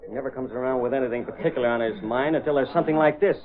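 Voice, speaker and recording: A man's voice: mid-range voice, racing, narrow, tinny audio, slight background noise, lightly acted. Griping radio-drama newsman played by an actor. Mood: bitter. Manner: sour, sarcastic, complaining. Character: gloomy, exasperated, griping male newspaper reporter. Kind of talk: 1930s-40s radio drama acting. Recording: band-limited old radio broadcast recording.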